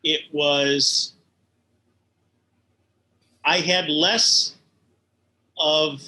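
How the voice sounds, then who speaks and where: A middle-aged or older man, an animator being interviewed, explaining things in a measured, hesitant way. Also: on the high side, steady tone, bright, forceful tone, rough recording. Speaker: animator guest. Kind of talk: reflective interview conversation.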